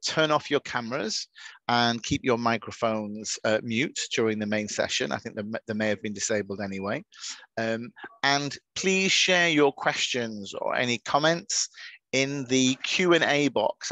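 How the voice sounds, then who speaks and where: Male webinar host, friendly and chatty, self-correcting, mid pitch, dry video-call audio. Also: a bit bright, no echo. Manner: friendly, chatty, with frequent restarts. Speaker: webinar moderator. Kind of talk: hosting an online webinar.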